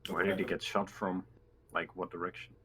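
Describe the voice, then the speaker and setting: A man's voice: deep voice, little pitch movement, moderate pace, bright, forceful tone, slight background noise. A man playing a tabletop roleplaying game, chatting casually and in character.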